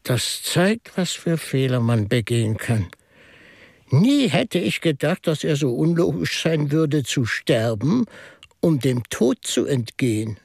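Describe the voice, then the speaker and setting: Radio-play priest detective: husky, lively intonation, unhurried, musing and whimsical. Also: male voice, a little high, dry recording, studio quality, exaggerated voice. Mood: dismayed, pointed. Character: shrewd, whimsical male priest turned amateur detective. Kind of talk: German radio drama dialogue.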